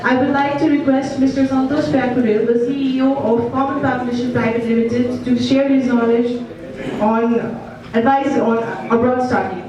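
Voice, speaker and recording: High-voiced woman announcing in a hall. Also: fairly even intonation, moderate pace, dull audio, crowd noise. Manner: formal, introduces speakers by title. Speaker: master of ceremonies. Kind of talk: formal event announcing. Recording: echoey hall from far off.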